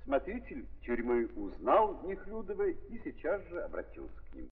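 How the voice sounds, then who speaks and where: A man narrating a 1930s radio drama in an impassioned theatrical style, with heavy pauses and repeated phrases. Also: on the high side, wildly swooping pitch, husky. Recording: muffled, echoing 1930s archive recording.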